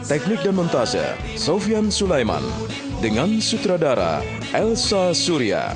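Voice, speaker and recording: A male radio drama announcer reads credits dramatically. His very animated, mid-pitched delivery is declamatory, with prominent guitar music behind him. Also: slow.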